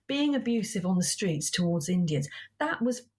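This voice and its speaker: A woman's voice: fairly low pitch, very animated, moderate pace, darkish tone, husky. A woman, a book author recounting her childhood in an interview, earnest and personal, with frequent "you know" asides. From England. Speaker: author guest. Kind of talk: reflective interview storytelling.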